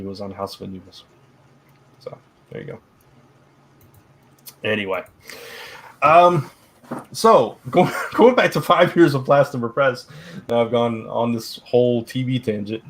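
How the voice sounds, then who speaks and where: A middle-aged man co-hosting a casual live stream, rambling with lots of fillers and restarts, his voice turning hoarse. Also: fairly low pitch, fairly bright tone. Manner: rambling, many fillers and restarts, laughs often. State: getting hoarse. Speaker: live stream co-host, publisher.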